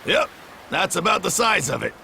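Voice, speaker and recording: A man playing a gruff, seasoned seafarer in a video game, gravelly and folksy with clipped dialect phrasing. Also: high voice, very animated, normal speed, bright, forceful tone, a little hiss or hum, full character voice. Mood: cautioning. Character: gruff seasoned male Norman seafarer, plain-spoken elder.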